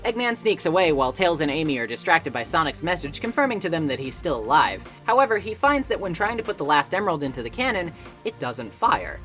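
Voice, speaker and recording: A woman's voice: sits low, very animated, fast, a bit bright. A woman narrating a scripted video essay in a wry, conversational and articulate way. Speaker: video essayist, game reviewer. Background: background music.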